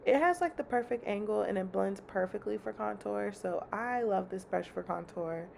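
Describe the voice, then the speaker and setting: Young woman, chatty and slangy, congested with a fry-heavy voice, faint background hiss. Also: mid-range voice, normal speed, darkish tone. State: congested, slightly raspy. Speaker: beauty vlogger. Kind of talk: casual makeup tutorial chat.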